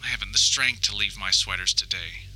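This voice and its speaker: A man's voice: on the low side, a little fast, pushed and bright, a little hiss or hum, rough recording. A man playing a melodramatic grown son trapped in a house, reading his survival audio diary in grandiose, theatrical, formal phrasing. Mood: despairing. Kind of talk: scripted audio diary monologue, mock-survivalist narration.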